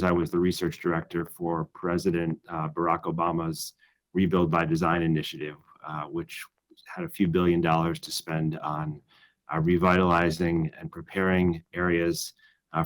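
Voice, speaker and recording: Very low-voiced man giving a conference talk. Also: little pitch movement. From Chicago. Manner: measured and thoughtful, with frequent "uh" and restarts. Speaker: conference speaker.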